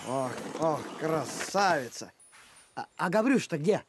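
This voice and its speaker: An actor voicing a simple, good-natured, excitable talking dog in a children's cartoon, naive and agreeable. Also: medium pitch, unhurried, background noise, natural voice. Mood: admiring, puzzled. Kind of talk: animated children's cartoon acting.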